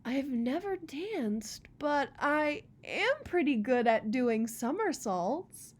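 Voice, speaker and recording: A woman's voice: high voice, expressive, voice pushed up, heavily acted. A woman voicing an ancient, contented male rock who tells his stories proudly and dramatically in a picture book read-aloud. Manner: dramatic, unhurried storytelling.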